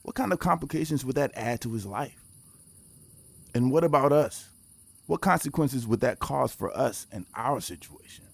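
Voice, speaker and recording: A man playing a guarded, pragmatic mission leader in his forties in a naturalistic audio drama, speaking in a measured, reflective way. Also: medium pitch, animated, creaky, no room sound. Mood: guarded, conflicted.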